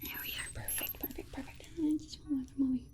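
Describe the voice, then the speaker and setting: A woman playing a polite, condescending alien scientist in an ASMR roleplay, whispering in a soothing, coaxing manner with breathy delivery. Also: sits high, little pitch movement, brisk, a little mellow, lightly acted. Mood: coaxing, reassuring. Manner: soothing, coaxing, hesitant asides. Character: alien scientist, polite, reassuring, breezily condescending toward humans.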